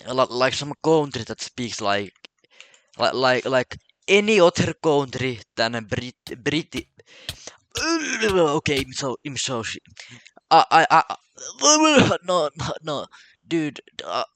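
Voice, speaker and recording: Halting Finnish-accented man, slow talker. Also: medium pitch, sing-song, fairly bright tone. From Finland. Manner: halting, stammers and repeats words. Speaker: vlogger. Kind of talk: casual solo vlog monologue.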